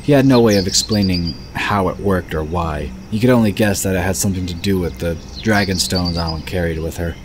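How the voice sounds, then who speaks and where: A man with a deep, low voice narrates a scripted fantasy audio drama. He tells the story in the third person, with birds and crickets clearly audible behind his voice. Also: brisk, few pauses. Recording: background noise of birds and crickets.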